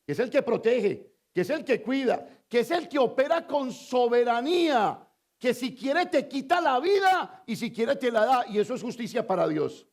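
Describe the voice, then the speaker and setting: A man from Colombia with a Colombian Spanish accent, preaching a sermon as pastor, quizzing the congregation and swinging between loud emphatic lines and low confiding asides. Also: very animated, moderate pace. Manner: call-and-response preaching, asks the congregation to fill in words.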